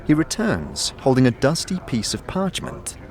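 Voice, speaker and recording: A man's voice: medium pitch, wildly swooping pitch, moderate pace, slight background noise. A man reading scripted second-person fantasy narration as the storyteller of an audio drama. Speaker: narrator and protagonist's voice.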